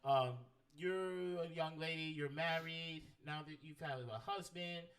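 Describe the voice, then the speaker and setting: A man's voice: on the high side, unhurried, fairly bright tone, far from the mic. A man hosting a casual interview in an easygoing, agreeable manner, chuckling at times. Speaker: interviewer, host.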